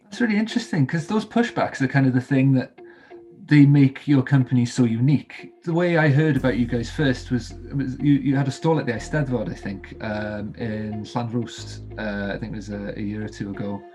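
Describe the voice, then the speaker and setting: Man, interviewer, mellow voice, halting questions, faint music behind. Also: medium pitch. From Wales. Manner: hesitant questions with fillers.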